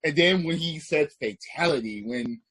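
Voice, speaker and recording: A man's voice: a little high, lively intonation, moderate pace, fairly bright tone, slightly rough. A middle-aged man reviewing a movie in casual chat, laid-back, laughing often and swearing freely.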